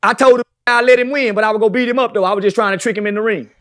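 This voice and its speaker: A man's voice: sits high, steady tone, racing, a bit bright. A man talking brashly as a podcast guest, boastful and preachy, swearing constantly.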